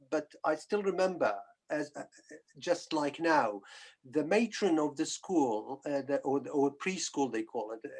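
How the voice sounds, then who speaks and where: A middle-aged man from northern Iraq giving a personal account on a webinar, speaking in a halting, hesitant way. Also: fairly high pitch, vocal fry. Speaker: guest speaker, physician and polio survivor.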